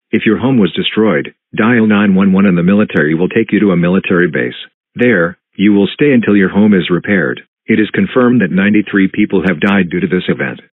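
A low, husky synthetic man's voice delivers a formal emergency broadcast announcement. The delivery is flat and mechanical, with a robotic quality. It sounds dull and lo-fi, like a muffled TV alert. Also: no room sound.

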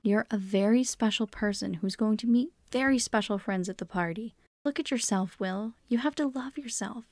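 A woman's voice: fairly high pitch, moderate pace, a little mellow, no echo, studio quality, natural voice. A woman playing a caring, encouraging mother in a comic fan dub. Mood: reassuring.

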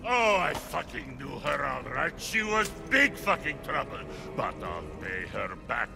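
The Monger, a snarling gang boss. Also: male voice, mid-range voice, very animated, unhurried, creaky, music in the background, heavily acted. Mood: taunting. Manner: snarling, profane taunts. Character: brutal foul-mouthed male gang boss, arrogant and violent. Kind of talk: video game cutscene acting. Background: game score.

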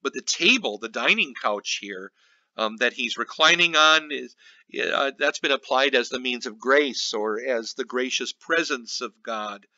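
A male pastor giving an informal Bible study commentary in a halting, reflective teaching manner. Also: medium pitch, bright, forceful tone, dry recording, lo-fi sound.

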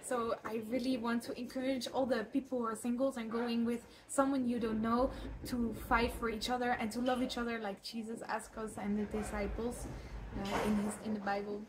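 A young Dutch woman speaks English with a Dutch accent, earnest and pausing on fillers now and then. Her voice sits a little higher than usual. Clear background noise runs under the recording. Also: little pitch movement. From Netherlands. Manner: earnest, with frequent filler pauses. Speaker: lay missionary teacher. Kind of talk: casual testimonial to camera.

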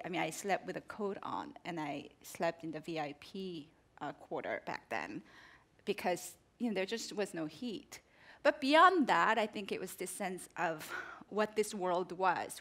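A woman's voice: lowish voice, a bit bright. Korean-accented woman, earnest and reflective. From South Korea. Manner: earnest, reflective, gesturing with her hands. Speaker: journalist guest. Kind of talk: onstage interview. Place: theater stage before a live audience. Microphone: headset mic.

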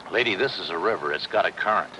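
Gruff, sarcastic diver, low-voiced. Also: male voice, expressive, fast, pushed and bright. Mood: impatient. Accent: American. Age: middle-aged. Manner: curt, sardonic. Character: gruff, sarcastic working-class male river diver. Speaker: actor playing a diver. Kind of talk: 1950s television drama dialogue. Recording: noisy old film soundtrack, waterfront ambience.

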